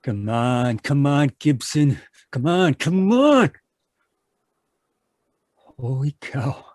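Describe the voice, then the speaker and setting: A man's voice: medium pitch, sing-song, extremely slow, a little mellow, no echo, studio quality. A man playing a brutish, impatient crook in an old-time radio crime drama, bossy and callous.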